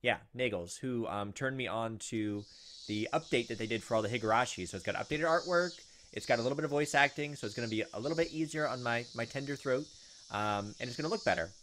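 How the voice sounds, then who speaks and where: A man of middling pitch talks through a game in an easygoing, rambling way, often pausing on ums and likes. A faint hiss sits under his voice, with insects chirping softly behind. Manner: chatty, thinks aloud with frequent ums and likes. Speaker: let's-play host. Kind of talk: casual gameplay commentary.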